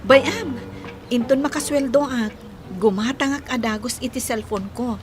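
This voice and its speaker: A woman's voice: high voice, unhurried, over music. An actress playing an eager young woman chatting with her friend in a radio drama, with an Ilocano accent. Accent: Ilocano, northern Philippines. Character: eager young woman leaving for work in town.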